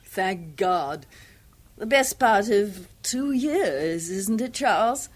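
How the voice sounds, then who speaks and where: A woman's voice: medium pitch, lively intonation, slow pace, breathy edge. An actress playing a flamboyant, scornful society woman with a gushing, affected drawl in a 1970s radio drama.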